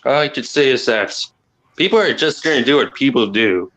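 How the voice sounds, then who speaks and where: A man with an accent, talking quietly and haltingly in a casual call discussion as the host, rambling and restarting his sentences. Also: mid-range voice, lively intonation, low-quality recording. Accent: non-native or regional accent. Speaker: channel host.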